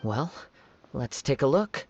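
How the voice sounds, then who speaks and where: Young male samurai, game role: calm, formal, thoughtful, gravel-edged and husky, medium pitch. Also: lo-fi sound, slight background noise. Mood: pensive, observant. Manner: measured, formal, soft-spoken. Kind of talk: video game voice acting.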